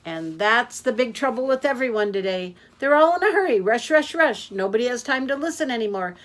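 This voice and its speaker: A woman's voice: animated, lightly acted. Woman doing a nonstop-chattering yak cabbie. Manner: rambling, complaining, fast and high. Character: chatty male yak taxi driver, talks nonstop.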